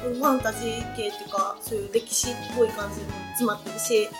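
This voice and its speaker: A woman with a very high, lively voice hosts a relaxed chat among friends. She talks quickly and reacts expressively and with giggles. Clear background music plays under her. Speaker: radio show host.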